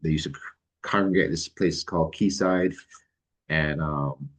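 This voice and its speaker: Middle-aged man, extremely low voice, relaxed and hesitant, lots of ums. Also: slightly rough. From Barbados. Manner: halting, many ums and restarts, relaxed. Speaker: guest, licensed mental health counselor. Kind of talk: casual interview answers.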